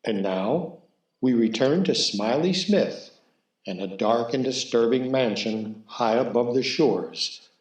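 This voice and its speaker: A man serves as the announcer of a radio theatre show. His voice is medium in pitch and his delivery is scripted and theatrical. The recording has a clear room echo around him. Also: takes it slowly. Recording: roomy echo on the voice.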